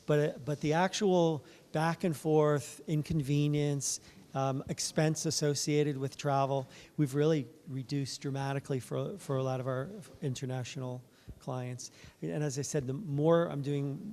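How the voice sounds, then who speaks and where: A man, a fertility doctor, giving a soft-spoken, conversational talk at a conference, with many fillers and restarts. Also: medium pitch. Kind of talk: conference presentation. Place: conference room.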